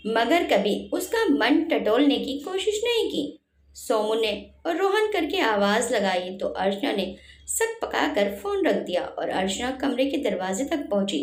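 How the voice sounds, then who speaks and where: Woman, storyteller, high-pitched voice, very lively intonation, scripted family tale. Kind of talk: expressive scripted narration of a Hindi family story. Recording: roomy echo on the voice.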